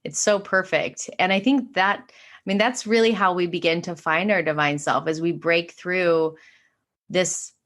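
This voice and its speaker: A woman's voice: lowish voice, unhurried, fairly bright tone. A woman talking about spiritual awakening in an earnest, flowing way, with frequent "like" fillers. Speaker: podcast guest, spiritual teacher. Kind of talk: casual spiritual conversation.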